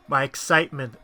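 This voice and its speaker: A young man talks through his game session in a loose, rambling way, full of fillers and half-finished thoughts. His voice is strikingly bright and sharp at a middle pitch. Faint game music plays behind him. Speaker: let's-play host. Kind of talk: casual gameplay commentary.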